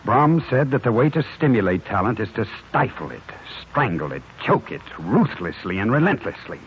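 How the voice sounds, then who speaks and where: An actor playing a blunt, impassioned American music talent agent in a 1950s radio drama, with theatrical, emphatic delivery. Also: male voice, lowish voice, big pitch swings, normal speed. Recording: muffled, hissy 1950s radio recording.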